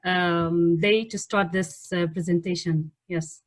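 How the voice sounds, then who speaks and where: A woman hosting an online webinar, speaking off the cuff in a halting way as she searches for words. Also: fairly low pitch, fairly even intonation, no room sound. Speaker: webinar host. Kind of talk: unscripted webinar introduction. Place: online video call.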